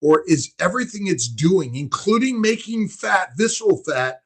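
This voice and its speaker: A man's voice: high-pitched, lively intonation, normal speed, slightly rough. A man hosting a conversation, talking in an emphatic, preachy way. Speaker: host. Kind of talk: casual conversation.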